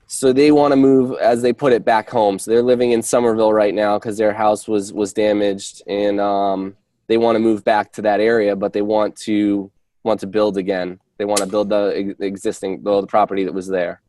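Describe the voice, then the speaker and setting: Man with a mellow, halting delivery. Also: lowish voice, little pitch movement, no echo. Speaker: real estate agent reporting on appointments. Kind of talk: informal work call update. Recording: dry conference call audio.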